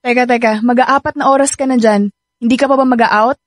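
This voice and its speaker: An actress plays a sassy young woman in a radio drama. Her voice is high and closely recorded with little room sound. She is brusque and sarcastic, keeping her guard up while she teases. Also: steady tone, fairly quick, no echo, clean studio sound, natural voice. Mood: mildly exasperated. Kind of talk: Filipino radio drama acting.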